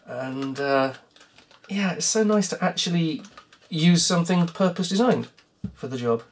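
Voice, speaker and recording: Croaky tinkerer talking to themself. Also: mid-range voice, expressive, a little slow. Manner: rambling, self-mocking running commentary. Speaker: hobbyist repair vlogger. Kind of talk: thinking aloud while taking apart a cassette player.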